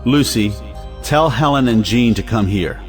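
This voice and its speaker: An actor playing a solemn, self-righteous head of a household in an audio play, speaking in a pompous, measured way. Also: male voice, medium pitch, expressive, soft background music, natural voice. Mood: pompous, commanding. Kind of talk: audio play acting of a 1910s stage comedy.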